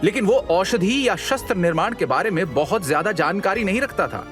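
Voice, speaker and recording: A man's voice: high voice, animated, brisk. A man giving scripted dramatic narration as the storyteller of an audio series. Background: background music.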